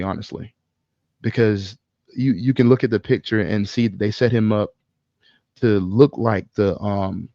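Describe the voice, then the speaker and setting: Low, gravelly man ranting casually. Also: a little mellow, dry recording, dull audio. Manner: profane, emphatic, halting with pauses mid-thought. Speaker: podcast co-host. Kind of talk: casual film discussion, opinionated ranting.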